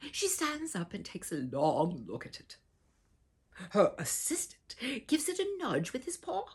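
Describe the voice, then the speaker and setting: A woman reading a children's picture book aloud in a theatrical, exaggerated way, with a breathy voice and dramatic shifts in delivery. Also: fairly low pitch. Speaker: children's storyteller and read-aloud host.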